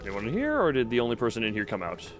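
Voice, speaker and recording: A man's voice: medium pitch, huge pitch swings, rapid-fire, soft background music. A man giving casual gameplay commentary to a live chat, rambling and thinking aloud as he plays. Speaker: video game streamer.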